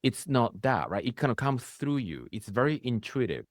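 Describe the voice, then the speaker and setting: A man's voice: medium pitch, sing-song, moderate pace, dry recording, clean studio sound. A man with a Japanese accent, speaking English as a later language, hosting a reflective conversation and checking in with "right?" as he goes. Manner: speaks in rising bursts, often ends with "right?". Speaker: spiritual coach hosting a conversation.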